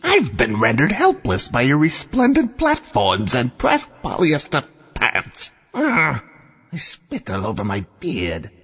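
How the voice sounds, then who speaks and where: A melodramatic sorcerer villain in a comic audio play. His rough, husky voice swoops widely and slowly, drenched in long reverb. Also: mid-range voice, huge pitch swings, fairly bright tone, full character voice. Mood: outraged defeat. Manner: florid, melodramatic. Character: bearded, bombastic male villain sorcerer, melodramatically defeated. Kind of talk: comedic audio drama acting. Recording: muffled, long cavernous reverb.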